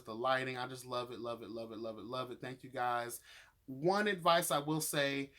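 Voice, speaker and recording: A man with a voice pitched a little higher than most delivers a casual review as a vlogger. He is sassy and opinionated, leaning hard on his points. His wording is slangy and he swears freely. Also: fairly bright tone. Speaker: vlogger reviewing reality show episodes.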